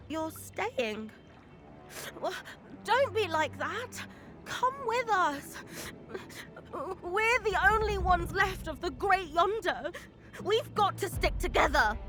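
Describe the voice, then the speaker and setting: A loyal, feisty girl from a video game. Her voice is extremely high and piercingly bright, her delivery earnest, unhurried and emotional. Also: heavily acted. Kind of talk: video game cutscene acting.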